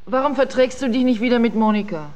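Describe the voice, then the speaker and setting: A woman's voice: fairly high pitch, fast, darkish tone, natural voice. An actress playing a needy, hard-drinking wife in a naturalistic radio drama, pleading and insistent in her speech. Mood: probing.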